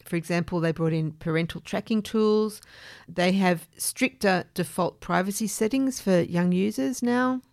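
A woman hosting a podcast, explaining things in a measured way with informal asides. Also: fairly low pitch, creaky, a little mellow, no echo, studio quality. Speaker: podcast host.

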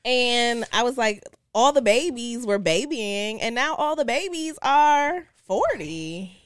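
Lively forty-something woman in close, dry sound. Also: a little high, slow, dry recording. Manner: animated, playful. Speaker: podcast co-host. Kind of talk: casual girl-talk chat between close friends.

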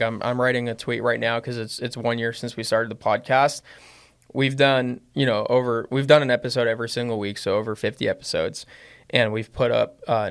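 A man with a slight Lebanese accent chats as a guest in a relaxed podcast conversation. His voice sits in a middle pitch, and his speech wanders with frequent fillers and restarts. He gets livelier and louder when something excites him. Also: clean tone. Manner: rambling, many fillers and restarts. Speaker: podcast guest, business owner. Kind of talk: casual conversation.

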